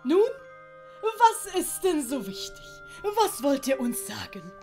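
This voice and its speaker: Woman as kindly, pompous older doctor, animated. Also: high-pitched, slow pace, faint music behind, exaggerated voice. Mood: fatherly, solemn. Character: kindly, pompous learned older man Dottore. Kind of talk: audio drama character voice.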